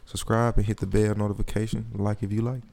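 A young man with a Southern US accent hosting a relaxed podcast. His voice sits fairly low, and he talks in loose slang with frequent restarts. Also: gravelly. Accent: Southern US, Atlanta area. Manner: laid-back slang, halting restarts. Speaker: podcast host. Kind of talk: casual chat.

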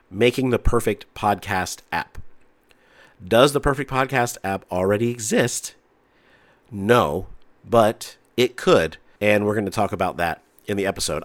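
A low-pitched man with a stuffy, nasal voice from a head cold hosts a chatty tech podcast. He talks freely and loosely, circling back on his own sentences. The recording is dry and close, with no room around him. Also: no room sound. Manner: chatty, talks a lot with restarts. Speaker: podcast host. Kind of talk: casual podcast chat.

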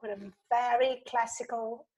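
A woman's voice: on the high side, fairly even intonation, a little slow, darkish tone, poor audio. A woman from Italy with an Italian accent, answering interview questions in a reflective, conversational way with self-deprecating humour. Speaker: guest, leadership coach.

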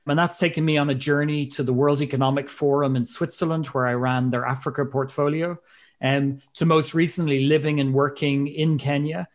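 A man from Ireland with an Irish accent, a guest on an interview show, speaking in a measured, earnest way about his work. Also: mid-range voice. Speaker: guest, investment team member. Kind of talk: interview self-introduction.

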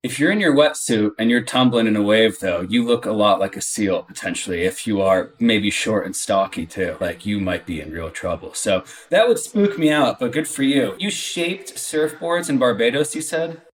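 A man's voice: on the low side, animated, moderate pace, few pauses, far from the mic. A man hosting a podcast in a casual, chummy interview style. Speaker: podcast host.

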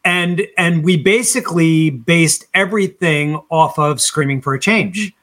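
A man in his fifties speaks in a fairly high voice. He talks casually as a musician being interviewed, sounding enthusiastic and putting emphasis on his points. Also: unhurried, a bit bright. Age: 50s. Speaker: band singer being interviewed.

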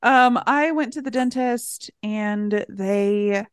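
A woman's voice: a little high, takes it slowly, smooth, no echo, clean studio sound. A woman co-hosting a podcast, chatting casually and comedically. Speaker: podcast co-host. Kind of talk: casual comedic movie chat.

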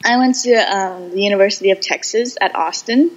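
A slightly dull recording of a young American woman in a casual interview. Her pitch is average, and she speaks reflectively, pausing and feeling her way through phrases as a podcast guest. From United States, Boston and Houston.

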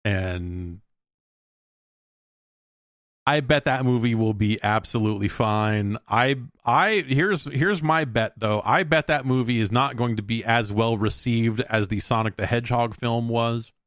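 A man's voice: medium pitch, a bit bright, no room sound. Rambling male podcast host, dry close sound. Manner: rambling, halting with repeated words. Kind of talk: casual solo talk show commentary on news.

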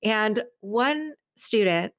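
A middle-aged woman talking casually as an interview guest, telling stories in an animated, effusive way and acting out bits of dialogue. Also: mid-range voice, extremely slow, dry recording. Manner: animated, effusive, re-enacts dialogue, laughs often. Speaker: podcast guest, author and creative.

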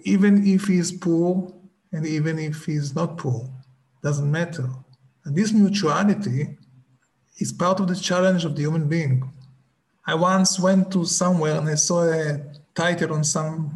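A man, a Hebrew speaker, giving a slow, reflective talk and often stopping to search for words. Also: a little high, a little mellow.

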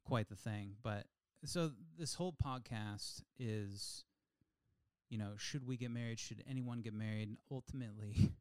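A man in his late thirties co-hosting a podcast in casual chat, telling stories in an animated, insistent way. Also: on the low side, normal speed, clear, resonant tone, no room sound. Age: 30s. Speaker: podcast co-host.

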